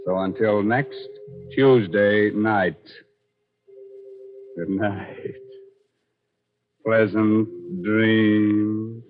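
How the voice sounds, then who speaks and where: A man hosting a 1940s radio horror show in a theatrical, drawn-out, sardonic manner full of macabre wordplay. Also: fairly low pitch, steady tone, soft background music. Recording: muffled 1940s radio broadcast.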